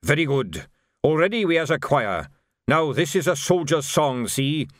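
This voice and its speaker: A man voicing a folksy, deferential ageing army sergeant with rough grammar in an audiobook. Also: fairly high pitch, sing-song, moderate pace, no room sound, studio quality, voice pushed up. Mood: loud, drilling. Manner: folksy, ungrammatical, barks at recruits. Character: deferential ageing sergeant, cheerful old soldier drilling volunteers. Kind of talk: audiobook character voice.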